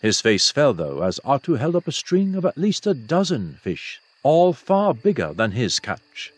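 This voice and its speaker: A man's voice: mid-range voice, wildly swooping pitch, unhurried, no echo. A man reading a fantasy novel aloud as scripted audiobook narration. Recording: soft music behind the voice.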